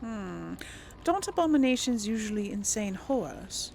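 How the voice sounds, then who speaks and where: A woman's voice: mid-range voice, big pitch swings, unhurried, gravelly, darkish tone, a little hiss or hum. A woman hosting a casual role-play let's play, speaking in a hesitant, thoughtful way. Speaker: gaming video host and role-player.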